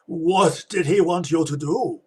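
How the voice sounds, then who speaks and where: A man acts a resolute vampire hunter in a high, husky voice. He speaks slowly and emphatically, grave and defiant, in old-fashioned radio play style. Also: darkish tone. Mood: grave, steady. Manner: emphatic, dramatic. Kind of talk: theatrical radio play acting.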